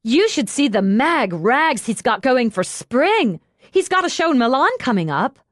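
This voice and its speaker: A woman's voice: sits high, sing-song, a little slow, no echo, studio quality, voice pushed up. A woman voicing a bubbly, brash, affectionate young woman who gushes in slang, in an audiobook. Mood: bubbly, gushing. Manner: gushing, slangy, exclamatory.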